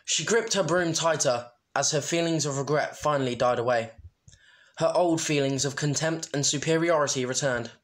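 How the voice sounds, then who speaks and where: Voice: medium pitch, normal speed. An amateur reader narrating a story and breaking into informal, self-deprecating asides. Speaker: amateur fan fiction reader.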